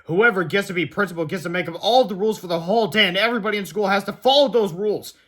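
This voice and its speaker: A man's voice: high voice, very fast, a bit bright. A man voicing an eager, mischievous fourth-grade boy from a children's storybook. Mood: excited.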